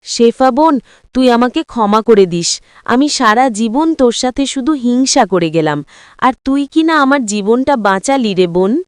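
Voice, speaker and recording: A woman's voice: on the high side, lively intonation, measured pace, clear, resonant tone, no room sound. A woman voicing a greedy, jealous fox mother who runs a shop in a cartoon, with a scolding manner. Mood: remorseful. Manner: scolding, scornful. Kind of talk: cartoon voice acting.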